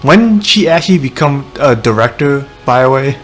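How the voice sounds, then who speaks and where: A woman's voice: rumbling low, lively intonation, moderate pace. A woman streaming a video game with casual, off-the-cuff commentary. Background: faint game music.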